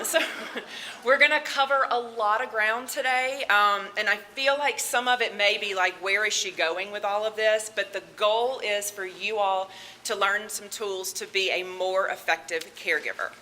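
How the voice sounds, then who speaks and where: Southern lady giving a talk at a conference, bright loud voice, a bit of hiss behind. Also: medium pitch. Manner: loud, chatty, nervous laughs. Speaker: conference speaker, medical social worker. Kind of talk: conference presentation. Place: conference room with audience.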